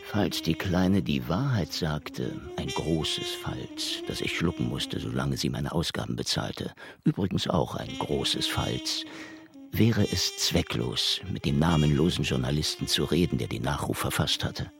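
A man's voice: extremely low pitch, huge pitch swings, unhurried, vocal fry, exaggerated voice. An actor playing a world-weary, cynical male detective who narrates his case in a radio drama, wry and brooding. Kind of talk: first-person noir narration. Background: music.

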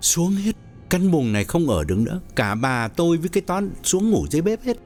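A man's voice: on the high side, very animated, fairly quick, a little mellow, soft background music, pitched above the performer's own voice. A man voicing a frightened, weary older husband who speaks in a tense, troubled way. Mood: fearful.

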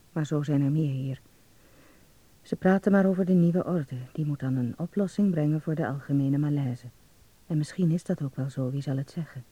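A man's voice: on the high side, mellow, dry recording, lightly acted, rough recording. An actor playing a dreamy young man in a radio drama, confiding intimately in a letter home to his mother. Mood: reflective.

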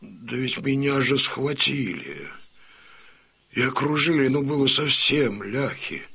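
An actor playing a stern, fierce old Cossack chieftain and father in an audio drama, with a gravelly, raspy voice. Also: medium pitch, lively intonation, slow pace, sharp tone, full character voice. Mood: weak, dazed. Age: older.

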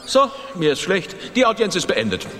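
Fussy, high-pitched mouse king. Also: big pitch swings, normal speed, fairly bright tone. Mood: faint, flustered. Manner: pompous, exasperated, theatrical. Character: fussy, nervous, self-important mouse king, easily overwhelmed father. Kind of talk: children's radio play acting. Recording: hall reverb, music underneath.

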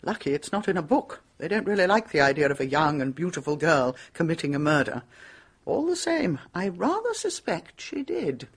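Husky, opinionated British woman novelist. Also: lowish voice, sing-song, normal speed, exaggerated voice. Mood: musing, confiding. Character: inquisitive, opinionated British female crime novelist. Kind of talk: radio drama acting.

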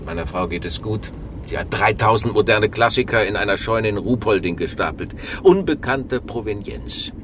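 Pushy bossy film producer in a german radio drama, deep-ish and super animated, noisy background. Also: male voice, moderate pace. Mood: agitated. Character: brash, domineering male film producer pressuring a writer.

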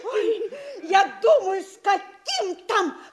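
Flirtatious vain mother, affected theatrical delivery, very lively swooping pitch, unhurried, stage comedy. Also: full character voice. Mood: fawning delight. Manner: cooing, affected, fawning.

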